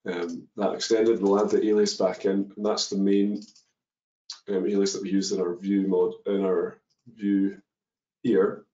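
A man's voice: deep voice, darkish tone. A man presenting a coding webinar, walking through code step by step with many ums and uhs. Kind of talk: live coding walkthrough. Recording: dull echoey webinar audio.

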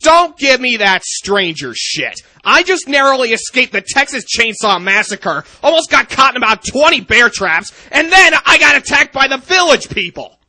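Man playing a sharp, sarcastic secret agent. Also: very high voice, sing-song, moderate pace, few pauses, no echo, exaggerated voice. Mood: irritated. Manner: sarcastic, exasperated. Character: irritable, foul-mouthed young male secret agent.

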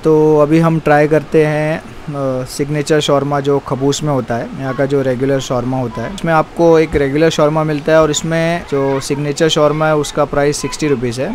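A man's voice: a little high, fairly even intonation, normal speed, few pauses. A man, hosting a food vlog and chatting about dishes and prices. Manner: chatty, promotional, explains prices. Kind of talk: casual food review, street interviews. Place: restaurant in a city street. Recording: street traffic noise behind.

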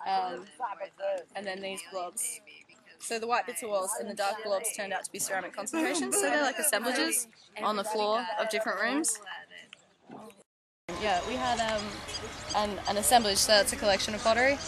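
A woman's voice: medium pitch, moderate pace, long pauses, a crowd in the background. A woman archaeologist eagerly explaining an excavation on site, talking in a chatty way that often restarts phrases.

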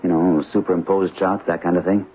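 An actor playing a skeptical, plain-spoken young husband, blunt and conversational, in a 1970s radio drama. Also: male voice, low-pitched, flat delivery, fairly quick, close to an everyday voice. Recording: tinny old radio recording, faint hiss.

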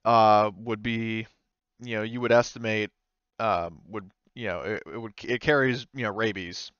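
A man improvising tabletop game narration as game master, explaining in a hesitant, halting way. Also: lowish voice, steady tone, a bit bright, smooth, no echo, highs cut off.

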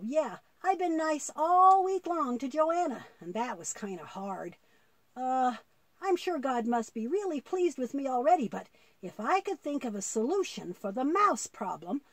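A woman's voice: sits high, no room sound. A woman voicing an eager, good-hearted young boy puppet who thinks aloud artlessly in a children's puppet show. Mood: eager, pleased.

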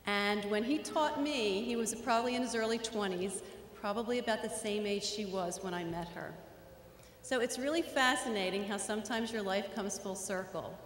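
A woman's voice: mid-range voice, normal speed. A middle-aged woman with a Philadelphia accent, telling a story in an acceptance speech into a podium microphone in a large school auditorium. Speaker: award inductee. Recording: echoey hall, faint room noise.

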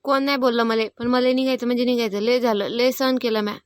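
A woman's voice: a little high, fairly even intonation, quick pace, lightly acted. A woman voicing a fed-up, stubborn village daughter-in-law in a cartoon comedy, defiant and insistent, with a rural Maharashtrian accent. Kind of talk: animated family comedy acting.

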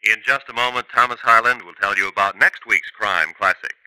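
A man's voice: lowish voice, brisk, bright, forceful tone, smooth, dry recording, poor audio. A man announcing the credits and promotions of a 1950s radio show in a polished delivery.

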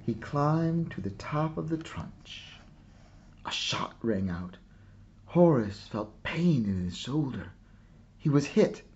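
Guy reading a kids book out loud, slow n expressive, slightly muffled recording. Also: mid-range voice, vocal fry. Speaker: storybook reader. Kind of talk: scripted narration, picture book read-aloud.

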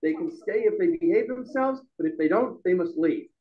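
A middle-aged man giving a loud, emphatic speech at an open-mic forum in a bar room. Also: high voice, expressive, moderate pace, highs cut off. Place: bar room with a small audience. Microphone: stand mic.

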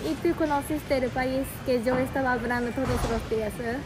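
A Japanese woman vlogging at an airport in careful, halting Spanish full of fillers. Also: high-pitched, fairly even intonation. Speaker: travel vlogger. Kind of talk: casual travel vlog narration. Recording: noisy airport background.

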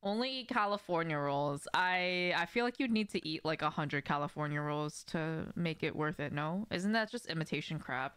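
A woman's voice: sits low, lively intonation, moderate pace, few pauses, a bit bright, clear, resonant tone. A young woman live streaming a game, chatting casually and speaking directly to her viewers. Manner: conversational, addressing viewers directly. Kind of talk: casual livestream chat while gaming. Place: indoor room at home.

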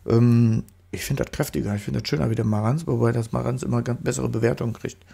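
A man's voice: medium pitch, fairly even intonation, fast, gravelly, warm tone, breathy edge. A man with a stuffy nose from a cold, chatting casually through a photo-editing tutorial voice-over, rambling and correcting himself as he goes. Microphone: studio microphone.

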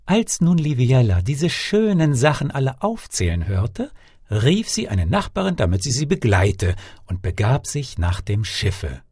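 A male storyteller reads a fairy tale with theatrical expression. His pitch moves in lively swings, and the recording is close and dry. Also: measured pace, breathy edge, no echo. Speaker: fairy-tale narrator, actor. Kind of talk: scripted storybook narration.

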